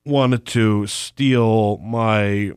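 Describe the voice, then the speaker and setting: A man's voice: fairly low pitch, fairly even intonation, a little mellow, smooth, no echo, studio quality. A congested man co-hosting a casual chat podcast, rambling and stumbling over his words. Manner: rambling, halting with many restarts. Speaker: podcast co-host.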